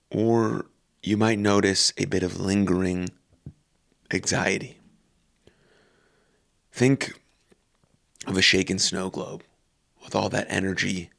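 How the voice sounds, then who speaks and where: A man leading a guided meditation calmly and unhurriedly, in short phrases with long pauses. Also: low-pitched, gravelly.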